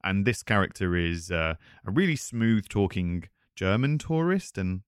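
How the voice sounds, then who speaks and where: Man as a lively, self-conscious amateur voice actor. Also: deep voice, unhurried, clear, resonant tone, no room sound, clean studio sound. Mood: chatty, nervous. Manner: self-conscious, hesitant, easily flustered. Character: chatty, nervous erotic-audio voice actor hosting a visitor. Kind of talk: casual conversational audio roleplay addressed to listener.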